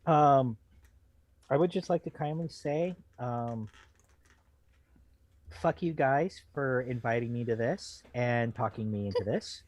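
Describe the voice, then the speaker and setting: A man's voice: mid-range voice, slow, long pauses, noisy background. A man chatting casually as a guest, reacting in a dry, hesitant, sweary way. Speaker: guest on an online group show. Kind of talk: casual chat.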